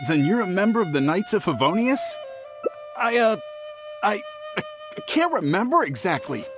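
A cowardly young merchant in a game, stuttery and panicky, voice all over the place, bit of music behind. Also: male voice, sits high, sing-song, moderate pace. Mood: nervous, pained. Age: young adult. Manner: stammering, panicky, theatrical. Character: young male traveling merchant, nervous, cowardly, evasive. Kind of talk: video game character acting.

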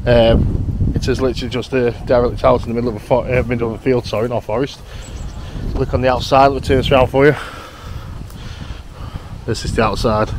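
A man's voice: medium pitch, level tone, brisk, long pauses. Chatty man vlogging outdoors. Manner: chatty, laughs often, keeps saying "guys". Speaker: urban explorer vlogger. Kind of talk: casual vlog narration. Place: outdoors and inside a derelict brick house. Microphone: handheld camera held close. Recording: noisy outdoor ambience.

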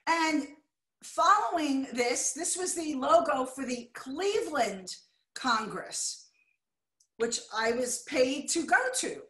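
An older American woman with a high voice gives an art lecture over a video call. She talks in a chatty, excitable way, breaking off now and then to fuss with her slides. The sound is roomy and echoey, like a computer mic in a bare room. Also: slow. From United States.

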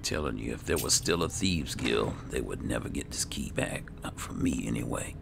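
A man's voice: extremely low pitch, animated, normal speed, creaky, noisy background. A man doing in-character roleplay commentary on a game, muttering to himself in short phrases. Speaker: gaming video host playing in character.

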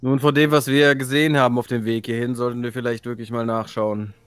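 A man's voice: mid-range voice, level tone, quick pace, a bit bright, smooth, dry recording. A man chatting casually as a player in an online tabletop role-playing game. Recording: online voice call, background music.

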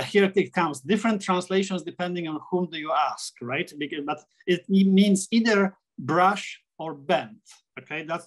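A middle-aged man from Poland with a Polish accent, talking as a guest on a video call interview, with many restarts and fillers. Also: on the high side. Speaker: interview guest, architect and sketcher. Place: small room at home, framed pictures on wall. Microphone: laptop webcam on video call.

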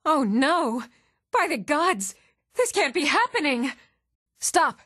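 An actress playing an earnest, dutiful woman of a fantasy realm in a video game, speaking in a measured, composed and formal way. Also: high voice, very animated, fairly bright tone, no echo, studio quality, full character voice. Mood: alarmed, warning.

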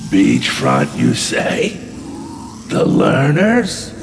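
An actor playing an exasperated, centuries-old zombie colonel in a comic horror audio drama, with a theatrical, menacing delivery. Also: male voice, medium pitch, huge pitch swings, drawn-out words, gravelly, background noise, full character voice. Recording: long reverberant hall echo.

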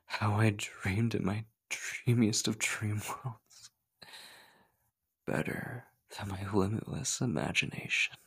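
A male voice actor plays a possessive male stalker in an ASMR horror roleplay. His voice is husky and gravelly, and he speaks slowly and haltingly, moving between breathy menace and clingy pleading. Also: fairly low pitch, full character voice. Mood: infatuated, anxious. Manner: slow, halting, slipping between breathy whispers and urgent pleading.

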